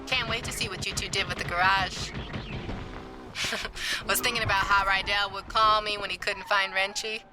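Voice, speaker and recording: A woman plays a cocky young female street racer in a video game. She talks in natural, teasing banter at a middle pitch, with music behind her. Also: steady tone, moderate pace, close to an everyday voice. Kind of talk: naturalistic game cutscene dialogue.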